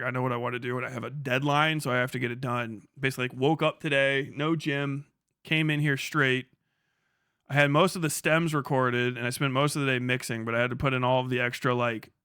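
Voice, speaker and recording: A man's voice: mid-range voice, fairly bright tone, clear, resonant tone, no echo, clean studio sound. A young man casually chatting on a livestream, reading chat aloud, swearing freely and laughing often as he rambles.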